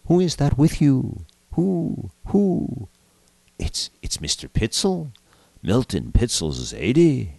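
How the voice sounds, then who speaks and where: A man voicing a mysterious female seance medium, calling out in a drawn-out, theatrical way in a comic story. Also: medium pitch, wildly swooping pitch, vocal fry, dark tone, no echo, pitched above the performer's own voice, full character voice. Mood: mysterious summoning.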